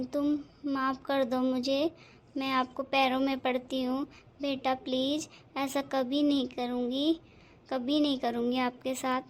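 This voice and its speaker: A spiteful, scheming stepmother with a high, shrill voice, as a woman plays her. She hurls insults and later begs for mercy. It sounds like a homemade family drama. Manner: shrill name-calling, later begging. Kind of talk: amateur family drama acting.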